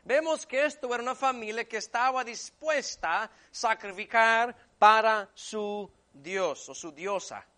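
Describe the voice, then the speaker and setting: A man who is a native English speaker preaching a sermon and reading scripture, emphatic and repetitive, swinging between hushed and forceful delivery. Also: high-pitched, unhurried, few pauses.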